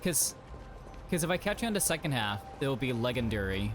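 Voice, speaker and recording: Animated, rambling male game streamer. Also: mid-range voice, a little fast, noisy background. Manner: rambling, lots of fillers and restarts. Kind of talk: casual chat while playing.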